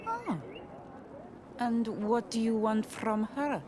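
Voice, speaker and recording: A woman's voice: on the high side, big pitch swings, slow pace, noisy background. An actress playing a wary, frank island villager woman in a video game. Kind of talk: video game cutscene acting.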